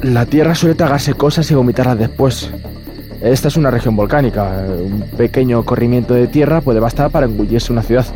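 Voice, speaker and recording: A man's voice: mid-range voice, brisk, music in the background, close to an everyday voice. An actor playing an earnest explorer-scientist who explains his findings in a horror audio drama. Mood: pensive, explanatory.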